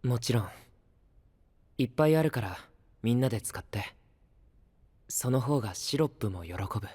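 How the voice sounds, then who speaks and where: An actor playing a shy, generous young man in an anime-style voice drama, quiet and considerate. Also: mid-range voice, animated, moderate pace, slightly rough. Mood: gentle, earnest. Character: shy, kind, quietly generous young male forest knight.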